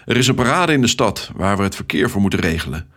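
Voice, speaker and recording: A man's voice: lowish voice, sing-song, fast, fairly bright tone. A man voicing a friendly, chatty office boss in a children's story, teasing and enthusiastic. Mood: teasing, excited.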